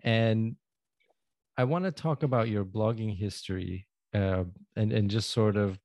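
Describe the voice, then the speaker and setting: A man hosting a travel podcast, speaking in a relaxed, friendly way with frequent fillers. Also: lowish voice, unhurried, dry recording. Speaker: podcast host. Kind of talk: casual podcast interview.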